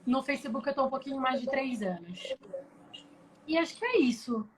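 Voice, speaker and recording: A woman's voice: high-pitched, moderate pace, noisy background. A woman from Belém in Brazil, speaking, chatting casually and explaining ideas over a video call. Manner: relaxed, explanatory, frequent restarts. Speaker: guest, tech professional. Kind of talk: casual live chat.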